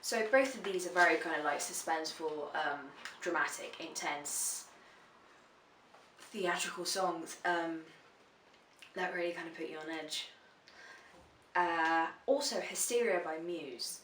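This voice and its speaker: A chatty woman in her twenties answering questions for her vlog, blunt and casual, with plenty of swearing. Her voice sits on the lower side and sounds bright and forceful. It is recorded from a slight distance in a roomy, echoing space. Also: a little slow, a little hiss or hum. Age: 20s. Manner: frank and chatty, swears freely. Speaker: dominatrix answering viewer questions. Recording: roomy echo, voice a bit far from the mic.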